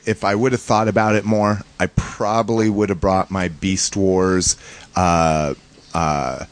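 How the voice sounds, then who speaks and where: A man from the United States chatting casually as a podcast host, in a rambling, halting, informal way. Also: low-pitched. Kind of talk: casual chat, recounting a fan convention.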